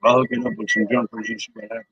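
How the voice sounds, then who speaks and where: A man's voice: lowish voice, sing-song, rapid-fire, vocal fry, sharp tone, husky, no room sound, highs cut off. A man casually chatting on his live stream as a CB radio hobbyist, laid-back and cussing freely while he putters around. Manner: laid-back, swears freely, mumbles to himself.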